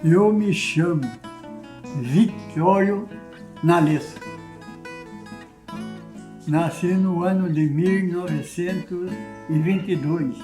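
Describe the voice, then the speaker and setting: A man in his nineties who grew up in the countryside, speaking slowly and hesitantly, restarting words. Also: high voice, warm tone, echoey room, soft background music. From rural Brazil. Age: 90s. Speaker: war veteran interviewee.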